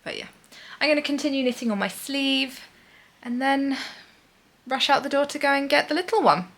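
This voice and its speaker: A woman's voice: high-pitched, moderate pace, a bit bright. A woman in her thirties, chatting casually and a little ramblingly as a knitting vlogger.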